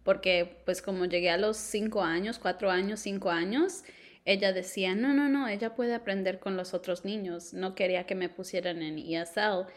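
A woman's voice: medium pitch, steady tone, normal speed. A young woman co-hosting a podcast, chatting casually and mixing in English words. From Colombia. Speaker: podcast co-host. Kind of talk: casual chat.

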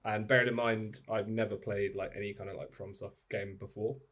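A man's voice: on the low side, flat delivery, fairly quick, a bit bright. A man talking casually and informally as a podcast guest, in a loose anecdotal style with salty language. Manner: informal, sweary, quotes himself.

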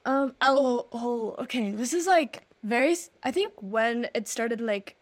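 A young woman from Nepal co-hosting a casual chat, her voice sitting a little high. She talks in a relaxed way with easy asides. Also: unhurried. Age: young adult. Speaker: co-host.

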